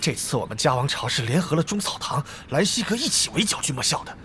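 An actor playing a scheming, hot-tempered guild leader in a Chinese audio drama about an online game, argumentative and calculating. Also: male voice, a little high, big pitch swings, fast talker, background noise. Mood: brisk, calculating.

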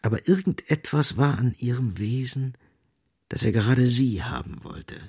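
An actor as the grave, ironic narrator of a literary radio play, deliberate and insistent, with a husky, creaky voice. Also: male voice, medium pitch, darkish tone, dry recording, studio quality. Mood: grave, brooding.

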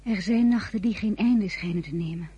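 An actress playing a tender, anxious young wife and mother in a radio drama, with a soft, husky voice. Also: mid-range voice, normal speed, lo-fi sound. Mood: grave, reflective. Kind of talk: naturalistic radio drama acting and diary narration.

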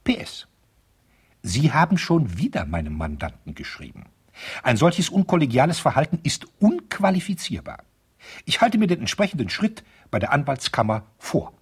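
A pedantic, self-important lawyer in a vintage radio play. His voice is very husky and gravel-edged, and it swings emphatically up and down through formal, officious legal phrases. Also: mid-range voice, very animated, normal speed. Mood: indignant. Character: pompous, pedantic male divorce lawyer, stiffly indignant. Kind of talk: 1970s German radio play, letters read aloud.

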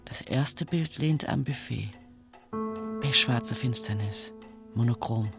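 Terse narrator over music. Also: male voice, mid-range voice, slow pace, creaky. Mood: detached. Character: terse, observing narrator describing the scene. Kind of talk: scripted radio drama narration, clipped fragments.

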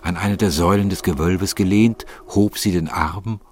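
A man reading scripted literary narration in a measured, formal manner. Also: low-pitched, darkish tone, a little hiss or hum.

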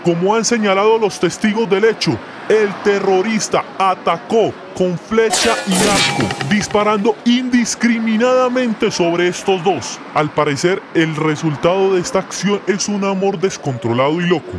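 An actor playing a pompous, moralizing Colombian police colonel, giving a bombastic official statement in a radio soap parody. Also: male voice, high-pitched, moderate pace, natural voice. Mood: solemn, pompous. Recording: clear street traffic noise behind.

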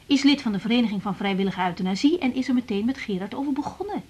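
A woman's voice: a little high, lively intonation, fast talker, a little mellow, breathy edge. An actress with a Netherlands Dutch accent playing an insecure, outspoken young woman doctor in a radio drama. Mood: defensive. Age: young adult. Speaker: lead actress. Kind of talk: 1980s radio drama acting.

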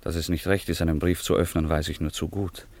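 A man's voice: low voice, steady tone, a little fast, darkish tone. An actor playing a proud, passionate, lovestruck young nobleman in a German radio drama, declaiming earnestly. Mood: troubled. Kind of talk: German radio play acting of a classical drama.

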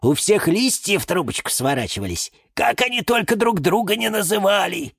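Guy doing a weary lemon tree for kids story, creaky highish voice, wry and gossipy. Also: sing-song, normal speed, a bit bright, no room sound, clean studio sound, heavily acted. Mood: wry, resigned. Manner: sighing, wry. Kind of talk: children's story character voice.

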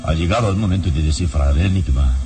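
An actor playing a calm, fearless adventurer hero in a solemn old radio serial, with grave, deliberate delivery. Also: male voice, sits low, close to an everyday voice. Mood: grave resolve. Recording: dramatic music behind, slightly distant mic.

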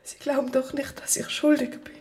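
A woman's voice: sits high, flat delivery, moderate pace, a little mellow, natural voice. An actress in a German radio drama playing a pious, frightened young servant woman condemned though innocent, speaking with pleading emotion. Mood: tearful, tender.

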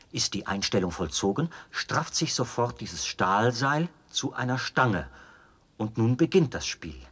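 An actor in a German radio play as a zealous, meticulous officer who explains a machine with pedantic pride. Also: male voice, mid-range voice, a bit bright, breathy edge.

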